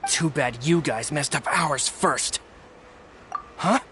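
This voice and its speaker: A man's voice: a little high, slow pace, bright, forceful tone, a little hiss or hum. A man voicing a resentful male thug in a video game, grudging and sulky. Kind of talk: game voice acting.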